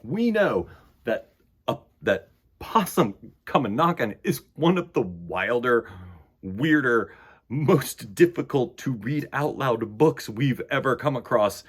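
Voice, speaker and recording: A man's voice: mid-range voice, animated, slow pace, slightly rough. A man hosting a children's storytime, reading aloud and chatting in a theatrical, emphatic way. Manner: theatrical, emphatic, swings between loud bursts and hushed asides. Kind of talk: animated read-aloud and chat to children.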